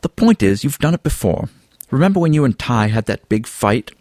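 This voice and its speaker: A man's voice: medium pitch, very animated, a little fast, a little mellow, dry recording, studio quality, pitched above the performer's own voice, close to an everyday voice. A man playing an earnest, exasperated young animal student. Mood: accusing. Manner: insistent, exasperated, moralizing. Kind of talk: audiobook character dialogue.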